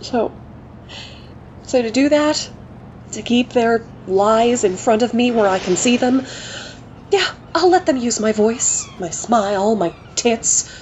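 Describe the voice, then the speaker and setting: Cynical journalist, blunt, profane, scornful, slightly dull audio, faint hiss. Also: female voice, a little high, darkish tone. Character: cynical fiery woman journalist, furious at corporate rulers. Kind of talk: audio drama acting.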